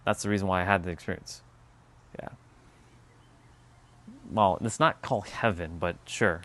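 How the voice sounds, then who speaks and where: A man's voice: deep voice, moderate pace, a bit bright. A man hosting a casual livestream, musing aloud on spiritual ideas and answering viewers in chat, with loose, offhand swearing. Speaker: livestream host.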